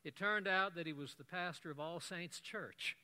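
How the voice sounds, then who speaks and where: A man delivers a sermon as a lively story. His voice is bright and a little high for a man. He drops in wry asides to the congregation as he goes. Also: moderate pace. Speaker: preacher.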